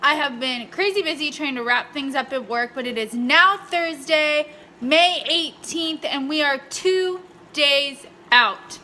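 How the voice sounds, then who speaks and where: A woman's voice: high voice, takes it slowly, pushed and bright, a little hiss or hum. A young woman chatting casually to camera as a fitness vlogger, upbeat and informal, recorded in a room at home. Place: indoors at home, kitchen and bedroom. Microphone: camera or phone a short distance away. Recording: roomy echo of home interior.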